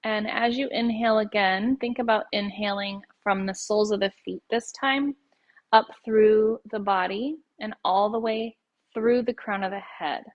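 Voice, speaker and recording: A woman leading a guided yoga nidra meditation in a soothing, unhurried way, letting her phrases end softly. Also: medium pitch, fairly even intonation, muffled recording.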